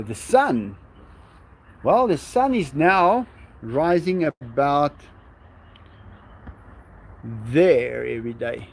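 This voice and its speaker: Man, South African Afrikaans accent, native Afrikaans speaker, unhurried musing live-stream chat, outdoors. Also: medium pitch, a little mellow, slight background noise. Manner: musing, unhurried, reads viewer questions aloud and chuckles. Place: outdoors at sunset.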